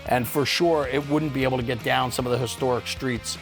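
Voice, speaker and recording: A man with a mid-pitched voice hosts from a studio, upbeat and emphatic while keeping it conversational. Light guitar music sits behind him. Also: steady tone, fairly quick. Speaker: studio host.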